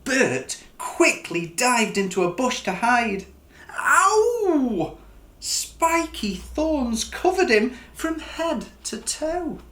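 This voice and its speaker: A man reads a children's story aloud as its author. He takes his time and swings his pitch widely for expression. The sound is roomy, with noticeable echo. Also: sing-song, drawn-out words, sharp tone. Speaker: author reading own book.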